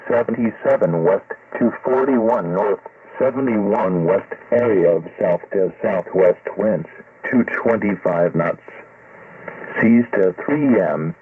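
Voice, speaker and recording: A synthesized male voice reading a marine weather forecast in a measured, methodical way, received over shortwave radio. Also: on the low side, slightly rough, no room sound, far from the mic. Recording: noisy tinny shortwave radio reception.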